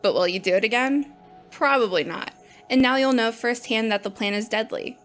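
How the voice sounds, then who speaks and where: A woman's voice: fairly high pitch, normal speed, faint music behind, lightly acted. A woman voicing an opinionated botany teacher who lectures bluntly and scoffs at textbooks. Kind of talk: audio drama acting.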